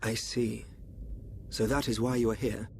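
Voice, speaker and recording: A man playing a calm, enigmatic royal seer in a video game, formal and assured. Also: medium pitch, measured pace, a little hiss or hum, natural voice. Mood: composed, reassuring. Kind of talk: dramatic video game voice acting.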